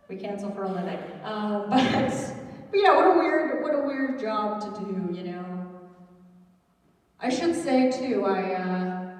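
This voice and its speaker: A young adult woman doing a loud, chatty, self-mocking stand-up comedy set on stage. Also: medium pitch. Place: large hall at a culture show. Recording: echoey hall, mic heard from far off.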